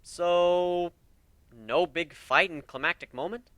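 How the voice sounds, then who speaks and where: A man's voice: sits high, slow, fairly bright tone, no room sound, pitched above the performer's own voice. A man voicing a world-weary, sarcastic married pony warden in a story reading. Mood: teasing. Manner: blunt, sarcastic.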